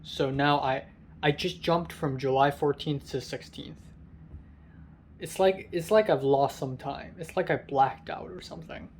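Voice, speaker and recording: A tired young man with a raspy voice, rambling through a casual vlog from a hotel room, halting with many ums. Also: fairly high pitch, a little hiss or hum. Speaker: vlogger.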